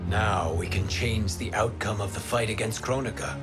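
Male actor as earnest wind god; low-ish, calm, music bed. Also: moderate pace, natural voice. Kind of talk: video game cutscene acting. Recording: music score underneath.

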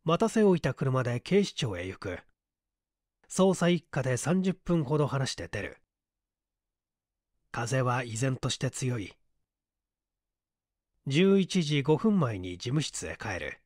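Slow, expressive male story narrator. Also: mid-range voice, no echo, clean studio sound. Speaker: audiobook narrator, stage actor. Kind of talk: dramatic scripted literary narration in Japanese.

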